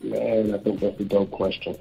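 A man's voice: fairly low pitch, flat delivery, moderate pace, gravelly, dark tone. A man in his thirties from Chicago, an author and real estate broker, speaking candidly and reflectively in a casual interview. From Chicago, USA. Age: 30s. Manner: candid, reflective, speeds up when quoting himself. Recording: dull lo-fi audio with faint music.

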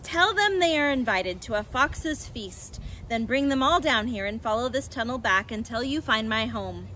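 Woman as a confident, bright-voiced storybook fox. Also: fairly high pitch, expressive, moderate pace, slight background noise. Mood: instructing. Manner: assured, courteous, persuasive. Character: confident, generous, crafty male fox, a father.

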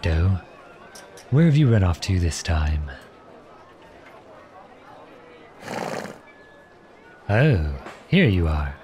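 A man playing a charming, gentle, teasing outlawed prince in an ASMR roleplay, courtly, playful and tender in his way of talking. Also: deep voice, slight background noise, heavily acted. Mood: fond, easygoing. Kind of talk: ASMR roleplay acting addressed to the listener.

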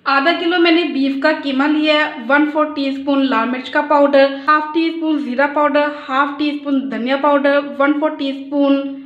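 A woman with a Pakistani Urdu accent talks in a high voice as she explains a recipe step by step, reading off each measure in a calm, instructional way. She is filmed in a home kitchen with a phone over the countertop, so the sound is roomy and echoey with a dull, lo-fi edge. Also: moderate pace. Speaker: cooking channel host.

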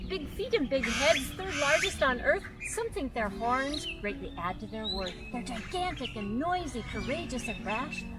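An older woman reads a rhyming children's book aloud outdoors, her fairly high voice swooping up and down in a bouncy, sing-song way. She sounds like a friendly guide at storytime, picked up by a camera a few steps away. Loud music plays underneath her. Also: very animated, normal speed, hardly any pauses. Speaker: zoo guide and author reading aloud. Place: outdoors by a pond in a zoo.

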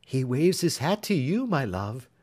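A man doing a serene gentle young woman. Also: mid-range voice, very animated, unhurried. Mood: tender.

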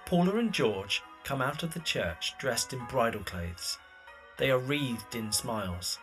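A male narrator reads scripted scene descriptions for an audio drama. His voice is of medium pitch, and music plays in the background. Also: expressive, measured pace. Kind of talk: scripted narration of stage directions.

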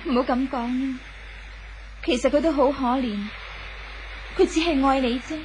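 An actress playing a gentle, hopeful young woman in love in a 1970s radio drama, reassuring, with a Hong Kong Cantonese accent. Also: high voice, moderate pace, slight background noise, lightly acted, low-quality recording. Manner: soft-hearted, reassuring.

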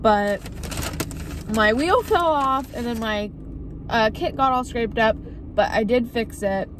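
A tired, congested young woman complains casually to a phone propped on her dashboard. Her voice sits a little high. Traffic noise comes through clearly from outside the car. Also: slow. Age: young adult. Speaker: vlogger, cosmetology student. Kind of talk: casual vlog to camera. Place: parked car by a road. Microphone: phone camera on the dashboard.

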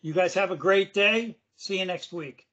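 Male webinar presenter on a video call, slightly hoarse, loose and chatty with fillers, high bright voice. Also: moderate pace, highs cut off. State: losing his voice, hoarse. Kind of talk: casual screen-share demo.

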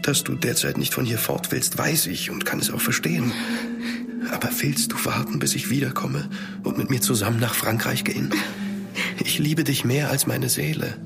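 A man acting an ardent, courteous gentleman traveller in a German gothic audio drama, earnest and measured. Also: medium pitch, creaky, over music, natural voice. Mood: ardent, pleading.

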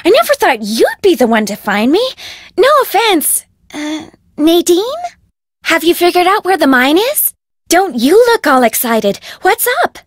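A woman's voice: very high voice, sing-song, measured pace, dry recording, studio quality, heavily acted. A woman voicing a bubbly, bossy, spoiled rich young heiress in a video game, with an American accent and perky, dramatic, casual phrasing. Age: young adult.

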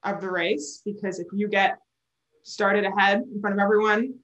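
Young woman, from Barbados, mid-pitch, chatty, laughs often, video call, echoey room. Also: fairly even intonation, normal speed, a bit bright. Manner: chatty, explains with hand gestures, laughs often. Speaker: sailor, interview guest. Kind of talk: remote video interview. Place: indoor room at home. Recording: roomy video call audio.

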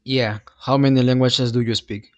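Ein junger Mann, dessen Muttersprache Spanisch ist und der in einem kleinen Zimmer locker in ein Handmikrofon Fremde nach ihren Sprachen fragt. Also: male voice, medium pitch, steady tone, a little mellow. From Peru. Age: young adult. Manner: friendly, asks quick questions. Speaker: host. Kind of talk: casual video chat with strangers. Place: small room indoors. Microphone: handheld microphone.

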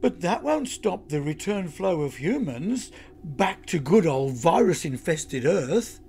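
Man voicing a high-pitched, plucky girl heroine. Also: very animated, takes it slowly, darkish tone, slight background noise, heavily acted. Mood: defiant. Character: bold, plucky human girl heroine, defiant and cheeky.

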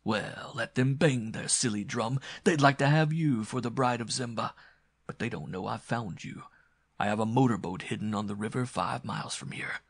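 A man's voice: mid-range voice, normal speed, gravelly, husky, dry recording, clean studio sound. A man voicing a brutal, scheming male villain in a throaty, guttural, boastful way for an adventure story. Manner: boastful, menacing.

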